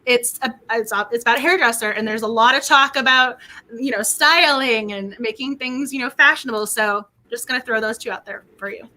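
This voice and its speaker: A woman hosting a book club livestream in casual chat, excitable and quick to laugh, her voice getting worn. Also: a little high, rough recording. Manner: excitable, laughs often, repeats words.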